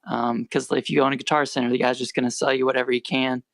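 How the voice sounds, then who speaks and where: A quiet-voiced young American man and musician, chatting in a relaxed, rambling way as a podcast guest. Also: mid-range voice, fast, creaky, dry recording, clean studio sound. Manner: rambling, self-correcting, relaxed. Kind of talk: casual podcast interview.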